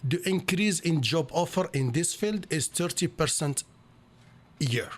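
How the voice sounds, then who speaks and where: A middle-aged man with a Moroccan accent, explaining things as an interview guest in halting, rebuilt phrases. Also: on the high side, expressive. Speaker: interview guest, computer science instructor.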